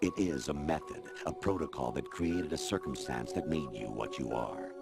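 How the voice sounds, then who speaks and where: A man voicing a cold, condescending artificial intelligence in a video game cutscene, lecturing in a measured, disdainful way. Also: rumbling low, vocal fry. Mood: smug lecturing. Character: cold, condescending male artificial intelligence posing as human authority. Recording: loud music behind the voice. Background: loud music.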